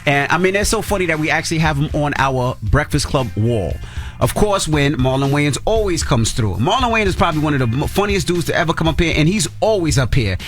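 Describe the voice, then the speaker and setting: An upbeat man hosts a morning radio show and greets each caller. His pitch swings widely and sits a little high, with music faintly behind him. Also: very animated, a little fast. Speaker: radio host.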